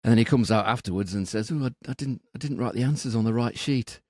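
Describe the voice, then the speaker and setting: A man's voice: mid-range voice, fast talker, a little mellow, dry recording, clean studio sound. A man co-hosting a betting podcast, thinking aloud and often repeating words as he goes. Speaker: podcast co-host. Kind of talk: casual betting analysis.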